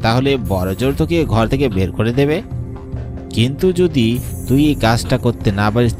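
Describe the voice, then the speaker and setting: A man's voice: fairly low pitch, lightly acted. A man voicing a greedy, murderous younger brother, curt and commanding, in a horror cartoon. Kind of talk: Bengali horror cartoon acting.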